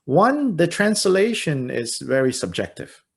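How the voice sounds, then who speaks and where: A man in his fifties from Malaysia with a Malaysian English accent, teaching and answering questions in a halting way, with many fillers and dry self-deprecating humor. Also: fairly high pitch, big pitch swings, creaky, husky. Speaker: dharma teacher. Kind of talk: informal teaching and Q&A.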